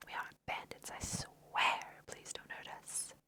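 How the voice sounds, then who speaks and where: A woman's voice: a little slow, sharp tone. Woman whispering as a timid human trespasser. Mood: pleading. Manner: pleading, timid. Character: frightened human trespasser in fantasy game, pleading.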